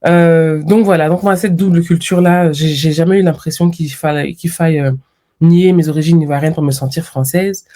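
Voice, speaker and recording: A woman from France, a podcast guest talking reflectively in a conversational interview, with lively quoted asides. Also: low-pitched, moderate pace.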